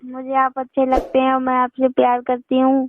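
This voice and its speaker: A tinny phone recording carries a young woman's high voice. Her intonation stays flat and even. She is insistent and unflustered in a personal conversation. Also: moderate pace, over loud music. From northern India. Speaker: phone caller. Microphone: telephone.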